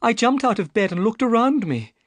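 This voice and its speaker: A man's voice: extremely high pitch, lively intonation, a little fast, no room sound, studio quality, voice pushed up. A man voicing a frightened young woman who pleads for help, with earnest, breathless delivery. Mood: anxious. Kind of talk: audiobook character reading.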